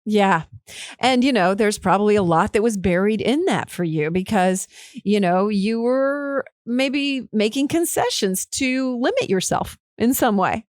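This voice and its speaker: A woman's voice: mid-range voice, lively intonation, a little slow, no echo, studio quality. A woman hosting a podcast, chatting casually, swearing now and then and acting out quoted lines in a livelier voice. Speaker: podcast host. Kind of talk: casual interview chat.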